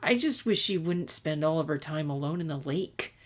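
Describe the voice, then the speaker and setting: A woman's voice: low voice, expressive, normal speed, slight background noise. A woman voicing a frustrated, grumbling male teacher in a story. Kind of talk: storybook narration character voice.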